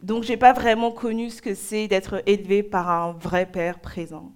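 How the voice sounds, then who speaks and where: A young woman from Nigeria, preaching and giving a personal testimony in short phrases with pauses for an interpreter. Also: mid-range voice, steady tone, a little mellow. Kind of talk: sermon in French.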